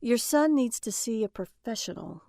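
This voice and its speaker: Woman playing a sharp-tongued, highly animated housewife. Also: normal speed, dry recording, studio quality. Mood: distressed, accusing. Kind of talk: audio drama acting.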